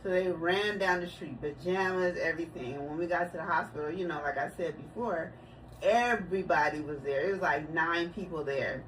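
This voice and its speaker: A woman's voice: fairly low pitch, fairly quick. A woman in her forties talking casually about her family on her video channel, telling stories and laughing easily. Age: 40s. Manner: chatty, storytelling, laughs easily. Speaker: vlogger. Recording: distant mic, noisy room.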